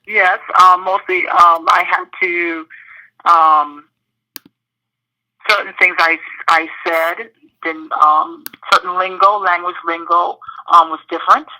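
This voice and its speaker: A woman from the British Virgin Islands answering interview questions thoughtfully, pausing often with fillers. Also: lowish voice, fairly even intonation, sharp tone, low-quality recording. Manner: thoughtful, with many pauses and fillers.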